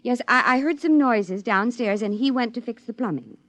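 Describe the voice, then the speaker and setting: An actress playing a doting, trusting, slightly nervous wife, sweet and affectionate, in 1950s radio drama style. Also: female voice, on the high side, moderate pace, a bit bright, no room sound, close to an everyday voice. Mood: puzzled.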